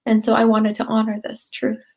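Gentle earnest woman author on a call. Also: fairly high pitch, monotone, brisk, gravelly. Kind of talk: conversational author talk. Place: home room. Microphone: video call.

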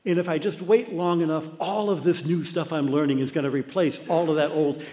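An older man with an American accent giving an animated illustrated lecture, often putting on mock voices. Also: on the high side, fairly quick. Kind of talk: illustrated talk. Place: dark lecture hall with projector screen. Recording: long hall reverb.

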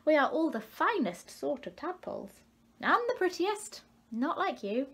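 A woman's voice: high voice, sing-song, measured pace, voice pushed up, heavily acted. A woman voicing vain, childish, stubborn little tadpoles in a storybook, boastful and scornful. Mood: jeering, boastful.

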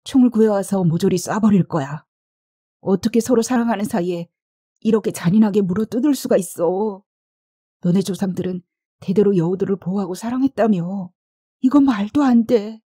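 Female narrator as desperate young man, urgent pleading, very dark husky tone, audiobook drama. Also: on the low side, fairly even intonation, normal speed, no room sound, clean studio sound. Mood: anguished pleading. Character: desperate young man fearing for his lover.

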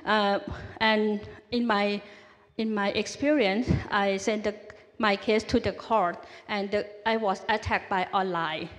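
A woman's voice: mid-range voice, steady tone. Woman, audience questioner, hesitant English, long hall reverb. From Thailand. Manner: halting, many restarts and fillers. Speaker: audience member asking a question. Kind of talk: question at a forum. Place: large conference hall.

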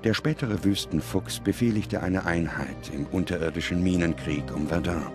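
Male documentary narrator, low-pitched, measured script read over music. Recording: music under the voice.

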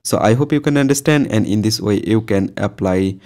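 A man hosting an informal step-by-step tutorial voice-over, speaking haltingly with repeated phrases and frequent ums. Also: on the low side, darkish tone. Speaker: tutorial host. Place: voice-over to a phone screen recording.

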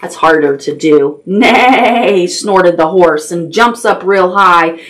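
A middle-aged woman hosting a children's storytime, reading picture books aloud and adding simple, expressive questions and asides for toddlers. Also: fairly low pitch, a little slow. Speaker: children's library storytime host. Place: small decorated indoor room with puppets.

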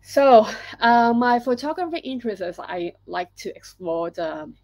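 A middle-aged woman from Hong Kong with a Hong Kong accent, presenting her photo project on a video call from her living room, pausing often with fillers and restarts. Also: on the high side, expressive, a little mellow, dry recording. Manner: halting, many fillers and restarts. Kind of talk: webinar presentation. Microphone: laptop video call.

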